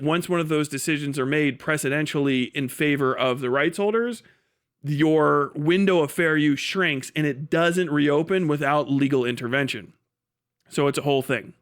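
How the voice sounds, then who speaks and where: A man's voice: on the high side, moderate pace, smooth. A man hosting a casual livestream, rambling bluntly with lots of profanity and muttered asides to himself and chat. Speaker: livestream host.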